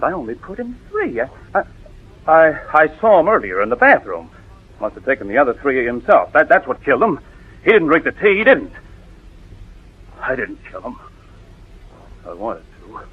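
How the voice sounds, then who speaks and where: Male, jittery guilty nephew in 1940s radio thriller; halting, swooping delivery, rough voice, muffled old recording. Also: fairly high pitch, big pitch swings, dry recording, exaggerated voice. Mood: desperate pleading. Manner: stammering, halting. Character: guilty nephew, nervous, evasive, pleading his innocence. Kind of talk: 1940s radio drama acting.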